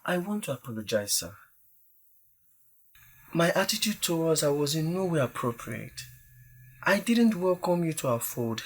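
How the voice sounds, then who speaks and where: A man's voice: a little high, full character voice. Earnest, apologetic young man played by an actor. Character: earnest, apologetic young male friend of groom. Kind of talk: audio drama acting.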